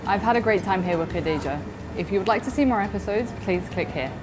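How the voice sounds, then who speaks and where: A woman's voice: mid-range voice, expressive, fast, over music, rough recording. A young woman hosting a casual interview, chatty and affirming with lots of yeahs. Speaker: host.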